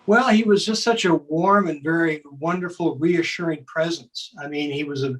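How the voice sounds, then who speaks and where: A man reminiscing as an interview guest, thoughtful and halting. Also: on the high side. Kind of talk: reminiscing in conversation. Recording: distant from the mic.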